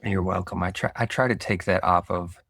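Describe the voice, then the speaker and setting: A man hosting a podcast interview, speaking in a relaxed, reflective way with filler pauses. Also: sits low, creaky, no echo, clean studio sound. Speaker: podcast host. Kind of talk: casual interview.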